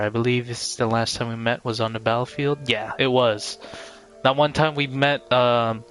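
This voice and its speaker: A man's voice: medium pitch, a bit bright. Casual, rambling man streaming a game. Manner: rambling, addresses viewers by name. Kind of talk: casual livestream chat over gameplay.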